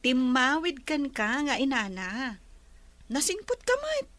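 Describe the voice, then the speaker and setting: A woman's voice: sits high, huge pitch swings, takes it slowly, fairly bright tone, slight background noise, exaggerated voice. A woman acting a kindly, encouraging cousin with an Ilocano accent in a radio drama. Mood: cheerful reassuring. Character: kindly, encouraging female cousin comforting a new mother.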